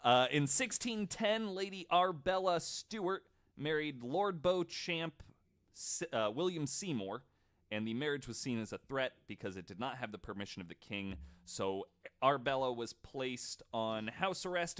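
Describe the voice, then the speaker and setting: A man's voice: mid-range voice, animated, moderate pace, a bit bright. A man hosting a podcast, telling stories to co-hosts in a casual, joking, informal way with some swearing. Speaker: podcast host.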